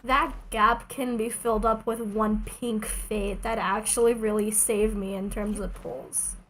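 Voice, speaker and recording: A woman doing casual, chatty livestream commentary while playing a game, playful and quick to swear. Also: mid-range voice, little pitch movement, fairly bright tone, slight background noise. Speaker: game streamer.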